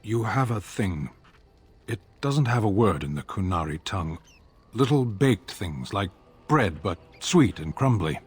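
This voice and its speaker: Deep, unhurried, stoic warrior. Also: male voice, sing-song, breathy edge, faint music behind, full character voice. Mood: stoic musing. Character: stoic, terse foreign male warrior. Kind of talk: fantasy video game dialogue.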